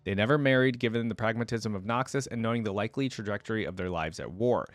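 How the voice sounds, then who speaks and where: A man's voice: fairly low pitch, fast, no echo. A man hosting a reaction video, reading game lore aloud and dropping in informal, casual asides. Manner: informal asides between read passages.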